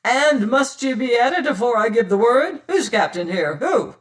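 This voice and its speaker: A reader voicing a cold, mocking male pirate captain who taunts and commands in a loud, drawn-out way. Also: mid-range voice, animated, distant mic, pitched above the performer's own voice, natural voice. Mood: menacing. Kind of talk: audiobook character voice.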